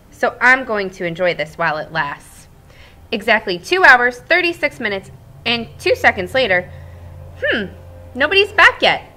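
A woman voicing a chatty, cheerful male egg in a storybook read-aloud, with bouncy, expressive delivery. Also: fairly high pitch, voice pushed up. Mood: fretting.

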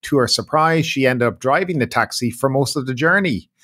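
Middle-aged guy reading the news, steady even delivery, mid pitch. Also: a little fast. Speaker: news show host. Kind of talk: read news bulletin. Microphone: studio mic in frame.

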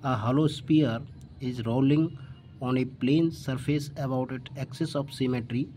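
Slow, mellow Indian male physics tutor. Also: mid-range voice, steady tone, slight background noise. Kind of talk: step-by-step worked-problem lesson.

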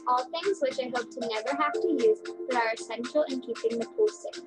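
Young woman earnestly reading over loud music. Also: high-pitched, expressive, fairly quick. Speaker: lifeguard. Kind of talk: scripted voice-over testimonial.

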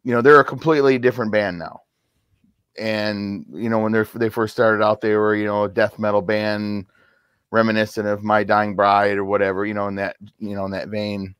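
Middle-aged man, lower voice, dry close sound. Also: flat delivery, moderate pace, fairly bright tone, smooth, dry recording. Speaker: album review panelist. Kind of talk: casual music discussion. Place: home record room, indoors. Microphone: video call.

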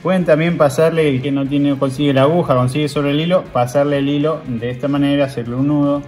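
A man's voice: on the high side, steady tone, fast, darkish tone, faint music behind. Argentine man demonstrating a recipe. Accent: Argentine Spanish. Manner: talks viewers through steps while working with his hands. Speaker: cooking show host. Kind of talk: casual cooking demonstration. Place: outdoor grill patio.

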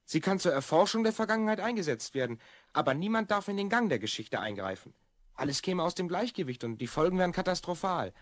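Actor doing a bossy young boy from the future, swoopy pitch, dry sound, kids audio drama. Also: expressive, fast talker, dry recording, close to an everyday voice. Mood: earnest. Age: child figure, twelve. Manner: earnest, explaining, bossy. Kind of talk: German children's audio drama acting.

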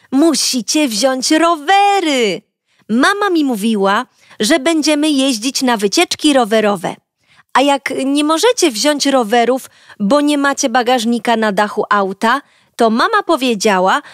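A woman narrating a children's audiobook as a cheerful young girl, talking brightly and directly to young listeners. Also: high-pitched, no room sound, clean studio sound. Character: cheerful young girl telling her own story. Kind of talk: first-person storybook narration for children.

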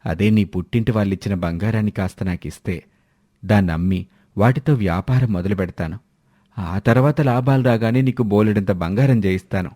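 A man plays an ambitious village husband in a storybook cartoon, low in pitch and persuasive. He reasons and coaxes, and the sound is dry. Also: fairly quick, dark tone, no room sound, natural voice. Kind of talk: animated moral-story dialogue.

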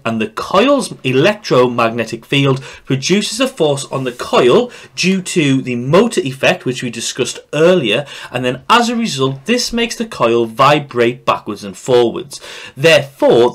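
A male teacher narrates a lesson in a mid-pitched voice that rises and falls a great deal. He explains things step by step, signposting the important points with clear emphasis. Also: a bit bright. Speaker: physics teacher. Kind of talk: scripted lesson narration over slides. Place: voice-over recorded for slides.

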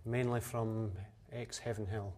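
A man's voice: on the low side, little pitch movement, measured pace, darkish tone. A man in his thirties explaining his distillery plainly, with a lot of "uh". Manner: plain, matter-of-fact explanations with frequent "uh". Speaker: distillery owner. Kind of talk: explaining on a tour. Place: indoors in a distillery still house and a cask warehouse.

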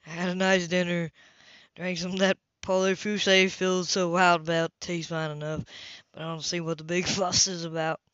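A reader voicing a calm, laconic man of few words in a novel, terse and unruffled. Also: moderate pace, clean tone, no echo, natural voice. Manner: low-key, terse, unruffled. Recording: dull lo-fi home recording.

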